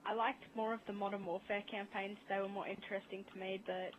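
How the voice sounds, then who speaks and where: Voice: medium pitch, flat delivery, a little fast, highs cut off. Casual gaming-discussion guest on a slightly noisy voice chat.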